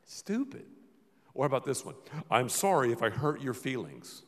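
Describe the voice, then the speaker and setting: A man preaching a sermon as pastor, playful and dramatic, often dropping to a low murmur. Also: wildly swooping pitch, moderate pace. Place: large church hall. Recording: reverberant church hall.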